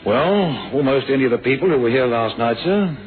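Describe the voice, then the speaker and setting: An actor playing a respectful, attentive police sergeant and sidekick in a radio drama, brisk and deferential. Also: male voice, medium pitch, lively intonation, lightly acted. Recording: narrow, hissy old radio recording.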